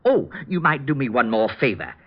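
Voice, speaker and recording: A husky, cheerfully eccentric gentleman. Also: mid-range voice, very animated, fairly quick. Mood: cheerful, explaining. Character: cheerfully eccentric man, polite and chatty client. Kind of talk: 1940s radio drama acting. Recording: dull old radio recording.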